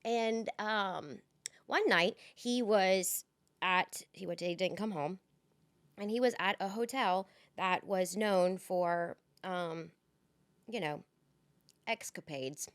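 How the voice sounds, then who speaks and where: A woman's voice: mid-range voice, slow pace, smooth, no room sound. A woman co-hosting a podcast, telling a personal story in a candid, rambling way full of fillers. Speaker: podcast co-host. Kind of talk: casual chat.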